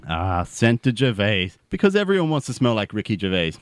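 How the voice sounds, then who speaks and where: Animated, melodramatic thirty-something man played by an actor. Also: on the low side, fairly quick, smooth, dry recording, studio quality. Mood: matter-of-fact. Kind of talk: audio sitcom dialogue.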